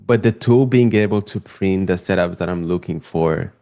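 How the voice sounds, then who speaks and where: Deep-voiced Spanish man calling trades. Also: moderate pace. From Spain. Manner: casual, excitable, chatty with viewers. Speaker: live trading streamer. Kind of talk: live market commentary.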